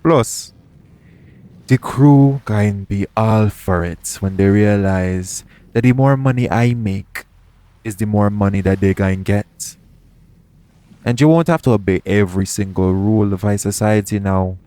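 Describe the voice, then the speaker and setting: A man playing a shady, smooth-talking pirate captain in an audio roleplay, coaxing and self-assured in a conversational way. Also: on the low side, a little slow, warm tone, lightly acted. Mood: scheming, confident. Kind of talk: second-person audio roleplay acting.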